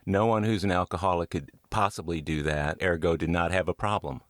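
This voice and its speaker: A man's voice: sits low, steady tone, fairly quick, dry recording, clean studio sound. A man hosting a conversational interview, reflective and drawing on his own experience as he asks questions. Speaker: interviewer and podcast host.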